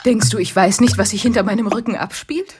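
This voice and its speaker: An actress playing a proud, betrayed fiancée in a radio drama, husky and breathy, speaking with tense, accusing control. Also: female voice, medium pitch, fairly even intonation, fast talker, loud music behind the voice, natural voice. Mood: accusing, hurt. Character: proud, cold, betrayed fiancée from powerful family.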